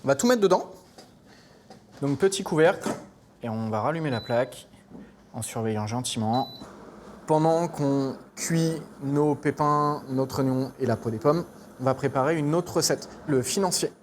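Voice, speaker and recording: A man's voice: mid-range voice, moderate pace, darkish tone. A man from Normandy talks through a recipe like a chef at work. His delivery is casual and a little hesitant as he explains each step. There is some noise around him in the kitchen. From Normandy, France. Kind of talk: cooking demonstration with casual chat.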